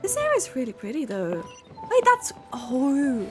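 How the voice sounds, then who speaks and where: A high-voiced woman gives casual game reaction commentary. Her delivery is exclamatory and extremely animated, with unhurried pacing and music playing behind her. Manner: exclamatory, emotive.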